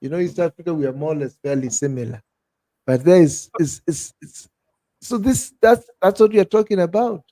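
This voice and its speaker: A man's voice: on the high side, a little fast, no room sound. A Kenyan man in his thirties chatting on a podcast, telling stories with energy and calling his friend "bro". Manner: animated storyteller, peppers talk with "bro". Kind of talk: casual chat.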